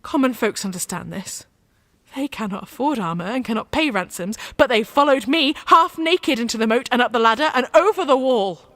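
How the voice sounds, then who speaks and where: Woman doing a devout young peasant warrior girl, headstrong and insistent, high voice that swings about a lot, radio play. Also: animated, brisk, fairly bright tone. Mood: defiant, fervent. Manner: impassioned, insistent, plain-spoken.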